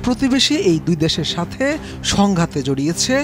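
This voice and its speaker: A man's voice: on the high side, sing-song, moderate pace. A man with a Bangladeshi accent, a news reporter reading a scripted voice-over report. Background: background music.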